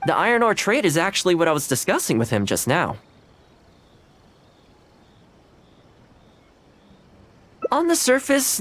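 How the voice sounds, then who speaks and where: An actor playing a friendly, helpful young man in a video game, upbeat and conversational. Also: on the high side. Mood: confiding.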